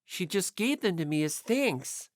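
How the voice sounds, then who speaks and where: A woman's voice: on the low side, very animated, measured pace, dry recording, studio quality. A woman voicing a modest, kindly teenage schoolboy in an audiobook, sincere and easily flustered. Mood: flustered denial.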